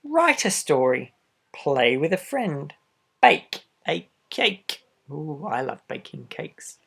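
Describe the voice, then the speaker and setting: A man reading a children's storybook aloud expressively, adding gentle asides for young listeners. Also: fairly high pitch, sing-song, takes it slowly, vocal fry, a little hiss or hum. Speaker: storybook reader. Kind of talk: children's picture book read-aloud.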